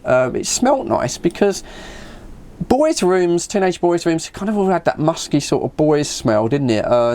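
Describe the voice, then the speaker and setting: A man in his fifties with an English accent, telling a casual anecdote in a stammering, animated way as a vlog host. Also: mid-range voice. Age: 50s. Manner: animated, stammering, acts out dialogue.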